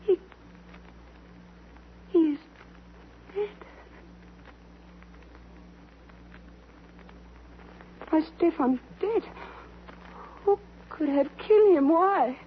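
A woman's voice: very high voice, lively intonation, slow pace, long pauses, exaggerated voice. An actress playing an anxious, formal young wife of a poor man in a theatrical 1950s radio drama style. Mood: grieving. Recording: tinny, faintly noisy old radio recording.

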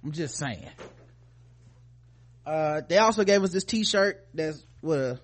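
A man's voice: on the high side, expressive, slow pace. An American man co-hosting a podcast in casual, profane, slangy chat, playfully addressing his listeners. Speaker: podcast co-host.

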